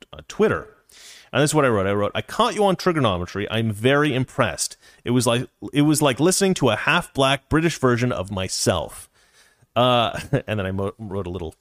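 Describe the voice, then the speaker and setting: A man's voice: medium pitch, fairly bright tone, no echo. Guy in his 40s rambling on a livestream, reads chat, lots of restarts, dead dry sound. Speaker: livestream host reading chat.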